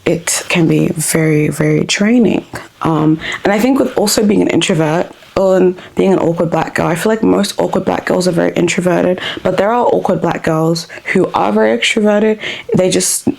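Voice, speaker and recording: Low, creaky woman vlogging. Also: moderate pace, darkish tone, low-quality recording. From born in Africa, grew up in Australia. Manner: thoughtful, rambling, frequent ums and likes. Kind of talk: reflective personal vlog talk.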